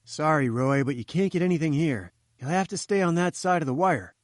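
A man's voice: fairly high pitch, expressive, a little fast, dry recording, clean studio sound, natural voice. A man doing a breezy, confident journalist. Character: confident good-humoured male journalist.

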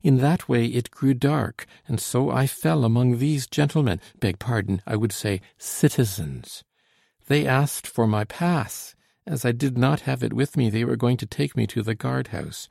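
A man's voice: mid-range voice, a little slow, vocal fry, a little mellow, no room sound, clean studio sound. A man voicing a frightened young woman who pleads anxiously and politely, a trembling voice in a spoken story. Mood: pleading.

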